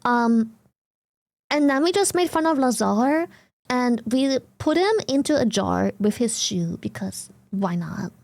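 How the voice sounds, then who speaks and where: High-voiced German woman streamer. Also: animated, unhurried, gravelly, darkish tone, no echo. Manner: laughs often, swears casually, blunt. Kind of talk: casual live-stream chat.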